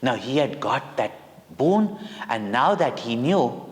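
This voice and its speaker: Casting: Indian-accented man, spiritual teacher narrating for kids, unhurried dramatic phrasing, heavy hall reverb. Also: mid-range voice, very animated. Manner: deliberate, dramatic phrasing in short pauses, simple words. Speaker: spiritual teacher telling stories to children. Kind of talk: devotional children's storytelling.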